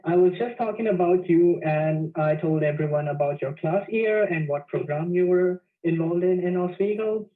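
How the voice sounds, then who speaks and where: A man's voice: high-pitched, normal speed, hardly any pauses. A young man, a student, hosting an interview over a video call and asking hesitant questions with "um" and "like". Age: young adult. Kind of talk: casual interview. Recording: tinny phone-like call audio.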